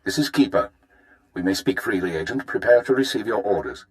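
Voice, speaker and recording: An actor playing a stern, authoritative spymaster in a video game, speaking in formal, measured commands. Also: male voice, fairly low pitch, lively intonation, close to an everyday voice, low-quality recording. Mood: stern, businesslike. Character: stern, authoritative male spymaster briefing an agent. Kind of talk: video game cutscene acting.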